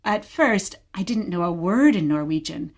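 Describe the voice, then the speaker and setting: A woman acting a gentle, patient mother who tells stories of her childhood in a scripted radio play. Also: on the low side, animated, moderate pace. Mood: patient storytelling. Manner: patient, storytelling.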